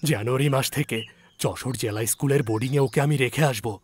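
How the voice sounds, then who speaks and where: A man's voice: medium pitch, fairly quick, slight background noise, lightly acted. A man playing an anxious, loving landlord father, speaking with emotion and earnest promise in a dramatised story. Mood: tearful resolve.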